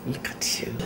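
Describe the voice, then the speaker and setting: A woman's voice: fairly high pitch, noisy background, full character voice. A woman playing a blunt teasing aunt. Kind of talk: Nollywood film acting. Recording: film soundtrack with loud background music.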